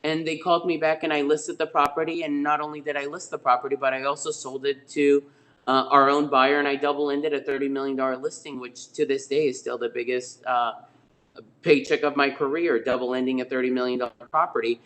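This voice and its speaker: A middle-aged man talks through his real estate stories at an ordinary, mid pitch, leaning on ums and uhs and often restarting his sentences. He speaks as a guest on a video-call webinar. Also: little pitch movement, a little fast, fairly bright tone. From Spain. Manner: rambling, many fillers and restarts.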